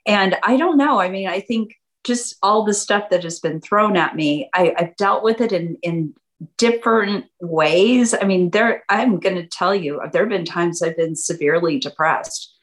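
A woman in her fifties, a guest chatting casually in an interview, animated and acting out what her friends said. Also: moderate pace. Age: 50s. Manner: animated, acts out quoted friends in a higher voice. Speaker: guest entrepreneur.

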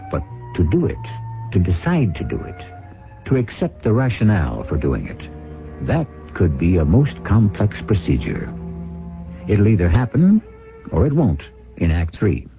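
Deep, dramatic male mystery host. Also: big pitch swings, music in the background. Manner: philosophical, dramatic, deliberate emphasis. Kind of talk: 1970s radio mystery narration. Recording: phone-like old radio broadcast recording.

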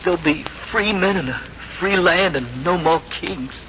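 An actor playing a weak, dying young soldier, humble and idealistic, gasping out halting folksy words in a 1950s radio drama. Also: male voice, on the high side, lightly acted. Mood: dying, fervent. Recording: muffled, noisy old radio broadcast.

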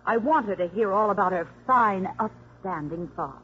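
An actress playing a spiteful, haughty, sarcastic ex-wife in a 1950s radio drama. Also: female voice, medium pitch, normal speed, fairly bright tone, breathy edge. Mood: scornful. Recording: dull old radio recording.

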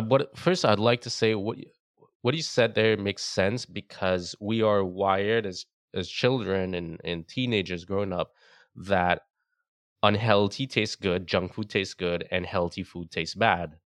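Man with a very low voice, earnest and measured, dry close mic. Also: dry recording. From Trinidad. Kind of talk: casual podcast chat.

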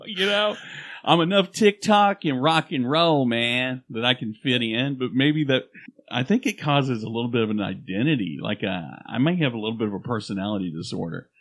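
Homme à l'accent de l'Oklahoma, ton badin. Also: male voice, mid-range voice, expressive, moderate pace, fairly bright tone. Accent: Oklahoma. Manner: playful, slips into put-on accents. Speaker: podcast co-host. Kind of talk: casual podcast chat.

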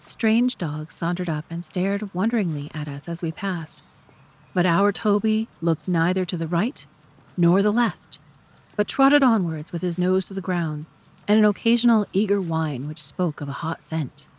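A woman's voice: on the low side, normal speed, a little mellow. A woman narrating a scripted audiobook story.

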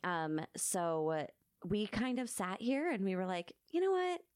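A woman's voice: medium pitch, sing-song, a little slow, darkish tone, clear, resonant tone, no room sound, clean studio sound. A woman co-hosting a podcast with casual, giggly, self-deprecating banter and informal phrasing. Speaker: podcast co-host.

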